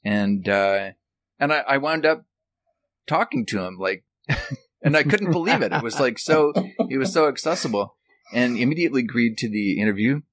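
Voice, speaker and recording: A man's voice: medium pitch, animated, moderate pace, a bit bright, no echo. A man chatting casually and telling stories about himself in a self-deprecating way. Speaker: podcast guest and author. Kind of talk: casual reminiscing chat.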